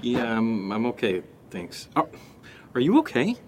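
A man's voice: mid-range voice, wildly swooping pitch, a little slow, darkish tone. Bewildered, wary man played by an actor, noisy recording. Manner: hesitant, muttering, sardonic asides. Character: bewildered, wary man puzzled by his housemate's behavior. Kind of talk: audio drama dialogue and monologue.

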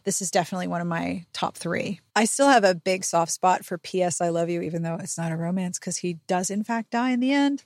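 A woman's voice: medium pitch, no room sound, studio quality. A woman hosting a podcast in a casual book recap chat, speaking in an opinionated, emphatic, slangy way. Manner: opinionated, emphatic, slangy, acts out imagined quotes. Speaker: podcast host.

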